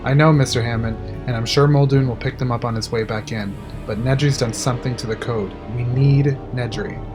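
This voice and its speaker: A man's voice: mid-range voice, fairly even intonation, brisk, close to an everyday voice. A man playing a level-headed, matter-of-fact technician in an audio drama, with music behind him. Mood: placating, urgent. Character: level-headed male control room technician, reassuring his boss.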